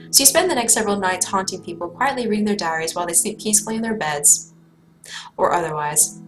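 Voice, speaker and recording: A woman streamer reading a choose-your-own-adventure book aloud, joking and laughing between lines. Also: medium pitch. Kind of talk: live read-aloud with asides.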